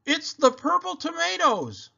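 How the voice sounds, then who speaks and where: A man voicing an excitable, fun-loving uncle from a picture book in a playful, exclamatory way. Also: very high voice, a little slow, creaky, muffled recording, pitched above the performer's own voice, full character voice. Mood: excited shouting. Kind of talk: animated children's read-aloud character voice.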